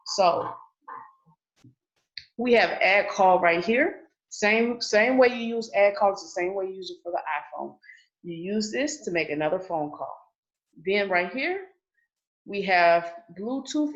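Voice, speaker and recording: A woman's voice: on the low side, highs cut off. A woman giving an online training session in a casual, chatty way, often checking in with "okay?". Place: indoors, on a video call. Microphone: video call.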